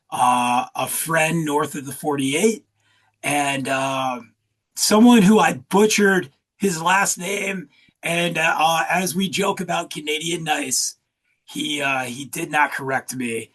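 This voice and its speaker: A man's voice: on the high side, fairly bright tone. A man hosting a casual interview over a video call, upbeat and joking, with lots of fillers. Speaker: host.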